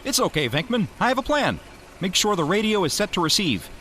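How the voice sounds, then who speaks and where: A lively, bright-voiced male ghost hunter acted by a man in an audio drama. He is earnest and eager, slightly high in pitch, with faint music behind him. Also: brisk, a little hiss or hum, heavily acted. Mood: excited, confident. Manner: enthusiastic, earnest. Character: eager, earnest male ghost-hunting scientist, bluffing as colonel. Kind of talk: full-cast audio drama acting.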